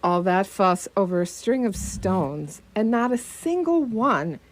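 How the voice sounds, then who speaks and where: Actress as slow, arch, witty woman doctor. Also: medium pitch, sing-song. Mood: dry, poised. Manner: arch, formal, playful phrasing. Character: witty, flirtatious, poised female doctor detective's companion. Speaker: actor in a community radio play. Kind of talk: radio theatre acting.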